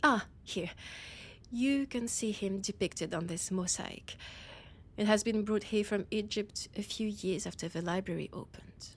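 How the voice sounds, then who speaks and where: Slow, breathy French vampire scholar, played by a woman. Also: medium pitch, close to an everyday voice. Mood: haughty, storytelling. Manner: haughty, commanding, deliberate, often dropping to a whisper. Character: annoyed, imperious female vampire scholar, condescending and menacing. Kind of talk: intimate scripted audio roleplay.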